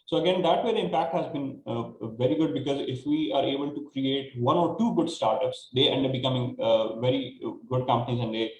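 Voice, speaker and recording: A man with a Pakistani accent explaining things informally as a panelist, in a halting way that repeats words. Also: mid-range voice, darkish tone. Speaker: panelist, project grantee. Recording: echoey room, faint background noise.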